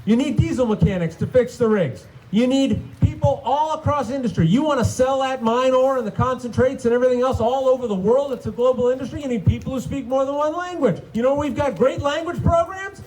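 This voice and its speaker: Casting: state senator at a rally, man, very high voice, emphatic, rhetorical, faint background noise. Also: fairly quick, few pauses, darkish tone, low-quality recording. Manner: punchy rhetorical questions, emphatic. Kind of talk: rally speech.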